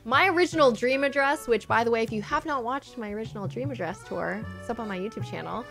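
High-voiced young woman streaming a game. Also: fast, creaky. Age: young adult. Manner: gushing, chatty, easily delighted. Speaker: streamer hosting a game island tour. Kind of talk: casual livestream gameplay commentary. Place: indoor streaming setup. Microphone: close microphone on boom arm. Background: soft game music.

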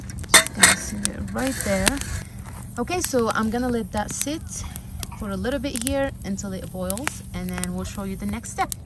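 A woman's voice: mid-range voice, very noisy. A young woman casually talking viewers through cooking a camp meal outdoors, step by step, with her camera a short way off. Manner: chatty, step-by-step narration. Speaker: vlogger. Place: campsite outdoors by a fire.

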